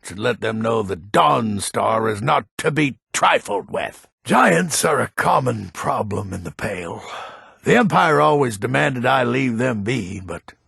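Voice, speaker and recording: A man playing a gruff, grumpy but honourable old Nord hunter in a fantasy video game, with a gravelly, husky voice and a weighty, deliberate manner. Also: mid-range voice, expressive, fairly bright tone, no echo, studio quality, heavily acted. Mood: commanding, then stern. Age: older.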